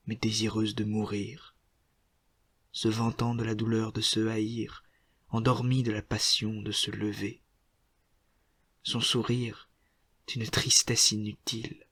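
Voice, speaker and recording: A man reciting literary poetry in a solemn, measured manner, setting short phrases apart with deliberate pauses. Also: fairly low pitch, fairly bright tone, husky, no echo. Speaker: poetry reader.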